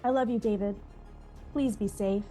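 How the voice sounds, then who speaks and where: A woman's voice: medium pitch, normal speed, dark tone, soft background music, close to an everyday voice. A woman playing a worried, loving, sensible wife who pleads with concern, in an amateur comedy table read. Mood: tender, concerned.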